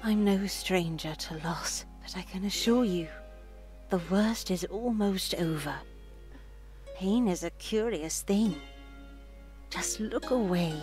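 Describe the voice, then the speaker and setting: A woman voicing a gentle, pious older nun for a visual novel, solemn and reassuring in a measured, hushed, breathy delivery. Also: medium pitch, natural voice. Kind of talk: visual novel dialogue read aloud.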